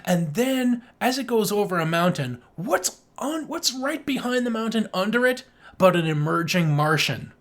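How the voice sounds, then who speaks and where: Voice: mid-range voice, sing-song, moderate pace. An enthusiastic podcast co-host in casual film discussion, given to acting out sound effects and swearing casually.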